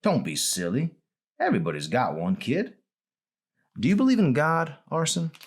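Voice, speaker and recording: A man's voice: a little high, wildly swooping pitch, normal speed, husky, pitched above the performer's own voice, heavily acted. A man reading the part of a frail, ornery, teasing old man in a hospital bed from a novel. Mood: probing. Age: older.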